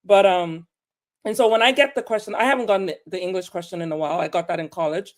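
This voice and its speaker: This is a podcaster from Nigeria speaking in a mid-range voice on a panel discussion. The delivery is animated and emphatic, driving points home with rhetorical questions. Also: medium pitch, quick pace.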